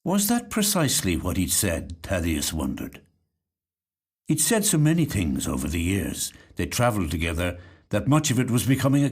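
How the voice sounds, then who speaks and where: A man reading a literary short story in a measured, reflective way. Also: lowish voice. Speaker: actor reading short stories. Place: recording studio.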